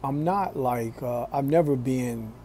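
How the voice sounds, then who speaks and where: Slow, mellow-voiced man in his forties. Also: medium pitch, slight background noise. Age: 40s. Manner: slow, deliberate, preachy. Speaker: vlogger. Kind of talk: casual talk to camera. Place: small room indoors.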